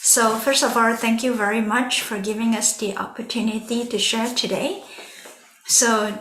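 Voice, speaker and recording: A woman opens a prepared sharing talk for an online audience. She speaks gently and at a measured pace, with a slightly high voice. The sound is roomy, with echo around her. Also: fairly even intonation, slight background noise. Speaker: volunteer speaker.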